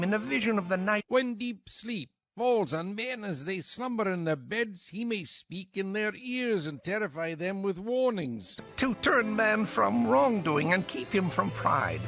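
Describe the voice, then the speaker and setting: A man's voice: high-pitched, vocal fry, fairly bright tone, full character voice. An actor playing an angry, impassioned young man lecturing his elders emphatically in a dramatized audio Bible. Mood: grave warning. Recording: music behind.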